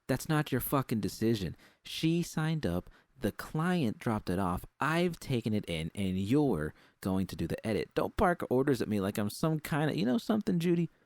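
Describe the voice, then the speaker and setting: A man's voice: mid-range voice, big pitch swings, moderate pace, hardly any pauses, darkish tone, no room sound, voice pushed up. A man voicing a bossy, exasperated woman gang boss in an audiobook story, scolding and swearing in a domineering way.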